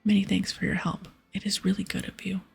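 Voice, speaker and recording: An earnest adventurer from a fantasy game, voiced by a woman. The voice is very breathy, often a whisper, with slight creak. The manner is polite and persuasive. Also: mid-range voice, level tone, brisk, soft background music, heavily acted. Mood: persuading. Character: earnest adventurer investigating a crime.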